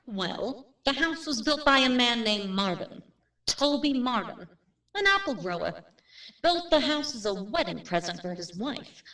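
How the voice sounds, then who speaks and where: A woman playing a brisk, matter-of-fact real estate agent in a radio drama, plain-spoken and without superstition. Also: fairly high pitch, lively intonation, sharp tone, distant mic, full character voice. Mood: matter-of-fact, grim. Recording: roomy, off-mic drama recording.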